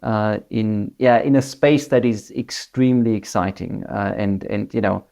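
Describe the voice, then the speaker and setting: A man's voice: lowish voice, steady tone, a little slow, vocal fry, warm tone. German-born man with an Australian twang. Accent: German with Australian English. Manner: hesitant, many fillers and restarts. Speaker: managing director, interview guest. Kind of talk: business interview.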